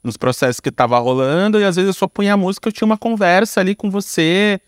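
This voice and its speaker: A man's voice: high-pitched, quick pace, no room sound, clean studio sound. A man with a Brazilian accent talking casually and reflectively in an interview, slangy and informal, thinking aloud. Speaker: podcast guest, content creator and director.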